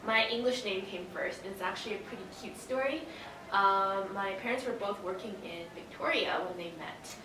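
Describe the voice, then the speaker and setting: A woman's voice: medium pitch, moderate pace. Echoey, distant young woman. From Canada. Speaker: interviewee. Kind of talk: casual interview answers. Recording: echoey, distant microphone, noisy room.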